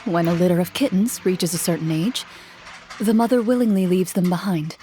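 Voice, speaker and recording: A woman playing a composed, dutiful young female champion duelist in an anime game, measured, polite and reserved. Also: lowish voice, warm tone, natural voice. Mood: wistful. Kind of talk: English anime game voice acting.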